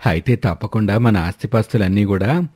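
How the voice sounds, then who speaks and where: A man's voice: lowish voice, brisk, darkish tone, a little hiss or hum, lightly acted. A man voicing an elderly father-in-law, a prodding, conniving husband in a cartoon moral story.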